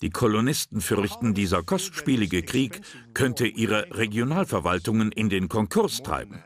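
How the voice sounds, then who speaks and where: A man narrating a history documentary drama in a measured, serious way. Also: lowish voice. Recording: narration over loud music.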